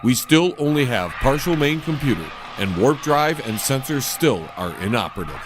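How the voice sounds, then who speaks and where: Parody sci-fi audio drama first officer, male actor: formal, detached alien, medium pitch. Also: big pitch swings, moderate pace, noisy background, lightly acted.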